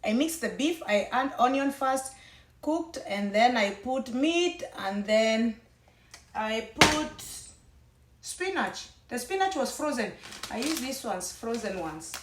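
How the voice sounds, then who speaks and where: A woman's voice: on the high side, very animated, slow pace, slight background noise. Kenyan woman chatting in her kitchen. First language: Kenyan mother tongue. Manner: chatty, rambling, with frequent restarts. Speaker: cooking vlogger. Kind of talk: casual cooking demonstration. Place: home kitchen. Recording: echoey room, mic at a distance.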